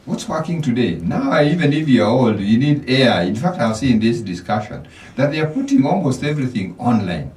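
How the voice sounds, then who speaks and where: A man's voice: mid-range voice, very animated, moderate pace, hardly any pauses, darkish tone, echoey room, recorded from a distance, poor audio. A Kenyan man, a financial coach, giving motivational advice as a radio guest, often repeating words as he starts a thought. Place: radio studio.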